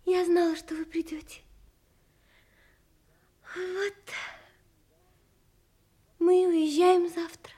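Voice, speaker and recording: An actress playing a shy, fragile, lovelorn young girl in an audio drama, speaking timidly and haltingly. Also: very high-pitched, level tone, warm tone, exaggerated voice. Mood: shy, tender.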